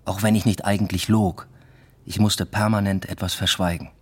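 A man's voice: lowish voice, flat delivery, moderate pace, husky. A man acting in a literary radio drama as a secretive, restless lover, speaking in an understated, matter-of-fact way. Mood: reflective, subdued. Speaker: first-person narrator and lead actor. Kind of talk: literary radio drama, intimate narration and dialogue.

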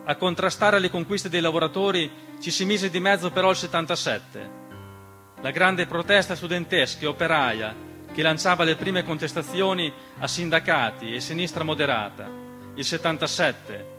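A man delivering a scripted radio theatre monologue, measured and dramatic, with deliberate pauses. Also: on the high side. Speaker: stage storyteller and narrator. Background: piano music.

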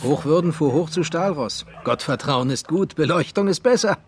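A man's voice: fairly high pitch, lively intonation, normal speed. A man playing a blunt, callous ambulance man in a German radio crime drama, with a flippant manner. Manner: flippant, gruesomely jokey. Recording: loud vehicle and outdoor noise.

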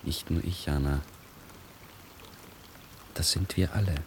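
Solemn, intimate male partner in radio drama; deep voice over faint rain. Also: steady tone, slow, a little mellow. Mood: grave, tender. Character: grave, tender male partner urging togetherness. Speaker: actor in a radio play. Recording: rain noise behind the voice.

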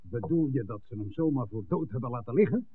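Excitable husky village innkeeper. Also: male voice, mid-range voice, fairly quick. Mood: outraged. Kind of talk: 1960s Dutch radio drama acting. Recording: tinny old radio recording.

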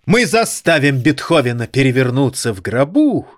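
A man plays an elderly maestro and music teacher in an audio drama. His mid-pitched voice swings widely and theatrically, florid and excitable, with an indulgent, condescending affection. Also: normal speed, lightly acted. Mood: grand, delighted.

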